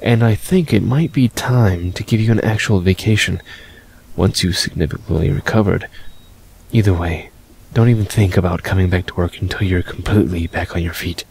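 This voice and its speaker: An actor playing an affectionate, protective older-brotherly or fatherly boss in an audio story, with a gravelly, husky voice. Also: deep voice, moderate pace, darkish tone, slight background noise. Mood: kindly, firm. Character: fatherly, affectionate male chief, protective and teasing.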